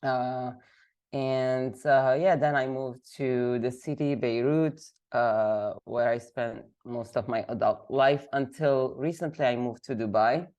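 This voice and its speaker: A woman from Lebanon speaks as an interview guest over a video call, her voice worn by a week of illness. She talks in a halting, casual way, filling pauses with ums and uhs. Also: rumbling low, darkish tone, highs cut off. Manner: hesitant, many fillers. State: sick, voice affected.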